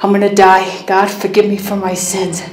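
A woman's voice: mid-range voice, monotone, moderate pace, lightly acted. An actress playing a cornered, foul-mouthed, defiant woman in a film thriller, hostile and spitting out insults. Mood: desperate anger. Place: small bare cinder-block room indoors. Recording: echoey concrete room, distant mic.

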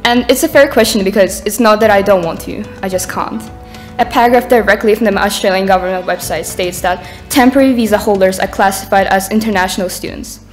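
A woman's voice: mid-range voice, brisk, soft background music. A teenage girl from Ukraine, reading an earnest prepared speech from notes at a lectern on a conference stage. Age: teens. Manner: earnest, reading from notes, rises in intensity near the end. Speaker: student speaker. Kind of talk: prepared speech read aloud. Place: conference stage in a dark hall.

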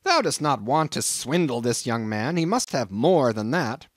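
A man's voice: medium pitch, very animated, normal speed, no room sound, clean studio sound. A man voicing a hot-tempered old sea captain in a storybook reading, thundering in archaic sailor speech. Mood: indignant. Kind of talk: audiobook character acting.

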